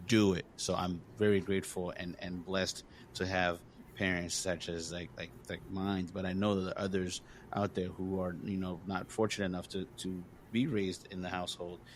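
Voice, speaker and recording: A man's voice: low voice, level tone, normal speed, a little hiss or hum. A man from Congo hosting a podcast, talking earnestly and encouragingly in casual conversation, with lots of fillers. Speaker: podcast host.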